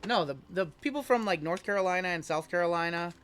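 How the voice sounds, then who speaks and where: A man's voice: high voice, quick pace, a bit bright, a little hiss or hum. A man hosting a live card break, chatting casually and reading the chat aloud with a joking manner. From Iowa. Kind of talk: casual live-stream chat while opening cards.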